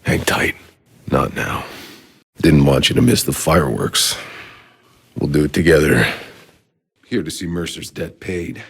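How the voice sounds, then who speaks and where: A man playing a gruff, weary, sarcastic soldier as a video game protagonist, terse and hard-bitten with a deep, gravelly voice. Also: unhurried, pauses often, fairly bright tone, full character voice. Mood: grim. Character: gruff, weary, sarcastic male Outrider soldier on a hostile planet. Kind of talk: dramatic video game voice acting.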